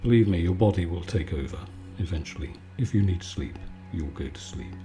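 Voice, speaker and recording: A man giving a calm, soothing, conversational bedtime chat in a relaxed ASMR style. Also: low voice, fairly quick, music in the background. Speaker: video maker and host.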